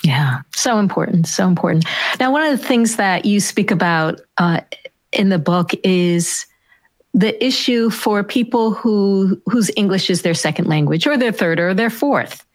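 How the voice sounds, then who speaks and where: A woman hosting an interview, asking measured questions and giving brief affirming reactions. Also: mid-range voice, animated, no echo. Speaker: podcast host.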